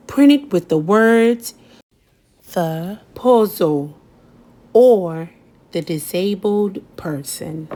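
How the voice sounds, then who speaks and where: A woman reading a children's picture book aloud, gentle and expressive, lifting her voice on key words. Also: mid-range voice. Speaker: storybook reader. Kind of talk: children's read-aloud narration.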